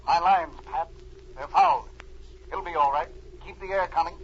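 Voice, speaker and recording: A brave, decisive agent hero in a 1940s-style radio adventure. His voice sits high and he speaks in clipped reports, through a muffled, noisy old recording. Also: sing-song, moderate pace, gravelly, exaggerated voice. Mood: strained but steady. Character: brave, decisive male special agent and diver.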